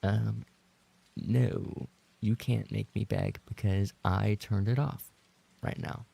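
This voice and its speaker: Very gravelly man livestreaming. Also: on the low side, fairly even intonation, takes it slowly, mellow. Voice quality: gravelly, creaky. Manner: playful mock-indignant, sweary, repeats phrases when flustered. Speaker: livestream host and roleplay voice actor. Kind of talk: casual livestream chat, reading and reacting to viewer messages.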